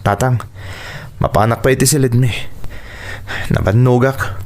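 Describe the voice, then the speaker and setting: A man's voice: medium pitch, fairly even intonation, a little slow, heavy background noise, natural voice. An actor with an Ilocano accent playing a troubled man of a family in an earnest, emotive radio drama style. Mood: tense, confrontational. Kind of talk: Filipino radio drama acting.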